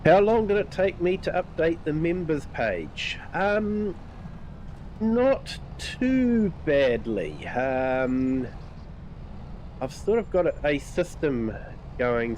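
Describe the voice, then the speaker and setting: A man with a New Zealand accent chatting through a game live stream in a relaxed, rambling way with lots of fillers, his nose a bit stuffed up. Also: mid-range voice, a little hiss or hum. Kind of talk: casual live-stream commentary.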